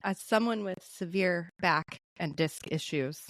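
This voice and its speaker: A woman co-hosting a podcast, easygoing and quick to laugh along, chipping in explanations. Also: lowish voice, a bit bright, dry recording. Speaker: podcast co-host. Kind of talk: casual interview chat.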